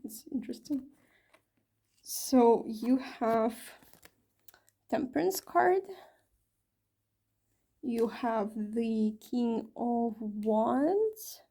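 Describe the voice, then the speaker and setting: A woman's voice: a little high, dark tone, breathy edge. A woman giving a casual tarot reading to her viewers, chatty and full of fillers and self-corrections, her voice a little strained from talking for a long time. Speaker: tarot reader.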